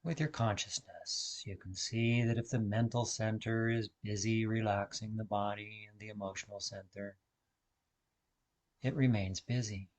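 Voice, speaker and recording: A man leading a guided meditation as a teacher, speaking in calm, deliberate, measured phrases with long pauses. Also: fairly low pitch.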